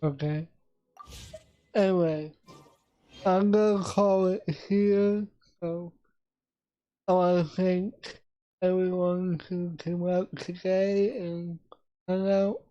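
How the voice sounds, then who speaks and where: A woman chatting casually on a game livestream in a halting, drawn-out way that often trails off. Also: lowish voice, fairly even intonation, warm tone, dull audio. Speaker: game streamer.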